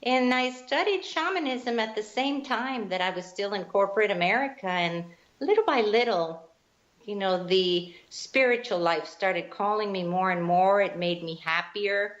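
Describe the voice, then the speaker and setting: A woman's voice: medium pitch, moderate pace. Lady guest telling her story, thoughtful, kinda muffled lo-fi sound. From Guatemala. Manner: earnest, reflective storyteller with fillers. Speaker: guest, spiritual teacher. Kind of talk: storytelling interview answers. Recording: dull lo-fi line.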